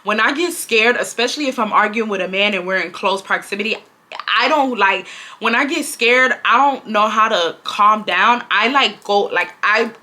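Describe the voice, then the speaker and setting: A woman tells a casual storytime. Her voice is bright and forward. She is animated, dramatic and slangy, and she acts out the shouting. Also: medium pitch, moderate pace. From Houston, Texas. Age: 20s. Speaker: vlogger.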